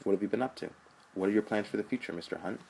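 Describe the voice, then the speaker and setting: A man's voice: lowish voice, very fast, gravelly, a little mellow, slight background noise, rough recording. A man voicing an earnest young college student who lectures his older brother. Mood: skeptical.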